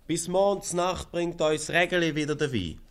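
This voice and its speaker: A man's voice: a little high, normal speed, smooth, natural voice. A man playing a chatty, reassuring village baker in a 1950s Swiss German radio comedy. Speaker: actor in a radio play.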